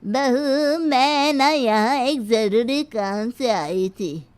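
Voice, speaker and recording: Woman playing a slow, scolding old grandmother. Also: a little high, smooth, no echo. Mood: exasperated. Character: sharp-tongued, scolding old grandmother-in-law. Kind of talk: scripted Hindi moral story acting.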